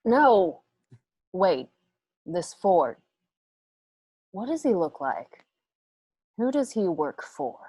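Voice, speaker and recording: An actress playing a wary, urgent frontier widow who presses for answers in a Western radio drama. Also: female voice, fairly low pitch, big pitch swings, very slow, pauses often, warm tone, husky, exaggerated voice. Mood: wary, questioning.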